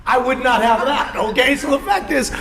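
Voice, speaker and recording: A man in an animated panel conversation, speaking as a guest in an emphatic, declarative and joking way. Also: very high-pitched, normal speed, creaky. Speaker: interview guest.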